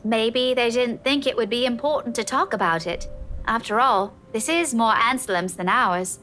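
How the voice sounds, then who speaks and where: Woman acting a high, bright, insistent young woman. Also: moderate pace, soft background music, natural voice. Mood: exasperated. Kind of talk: visual novel voice acting.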